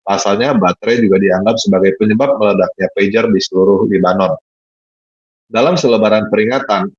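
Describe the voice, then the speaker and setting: A man with a low, husky voice reads scripted news as narrator. The recording is dull and lo-fi, with some room echo.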